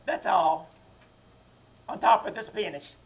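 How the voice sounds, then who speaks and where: A woman's voice: medium pitch, slow pace. A woman playing a nagging, self-pitying elderly mother who insists she is no bother, in a live old-time radio comedy. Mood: fussy. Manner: passive-aggressive, self-pitying repetition. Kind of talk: 1940s radio comedy acting. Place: live theater stage.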